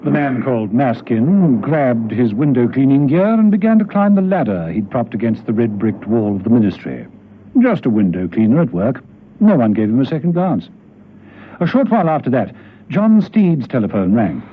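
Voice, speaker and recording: Animated male radio drama narrator. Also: mid-range voice, normal speed, slight background noise. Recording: dull old radio recording with music.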